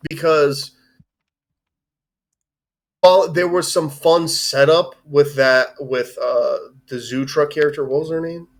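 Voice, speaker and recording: A man's voice: on the high side, fairly even intonation, takes it slowly, long pauses. A man hosting a live-streamed film discussion in an informal, rambling way with some swearing. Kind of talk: casual chat, film review.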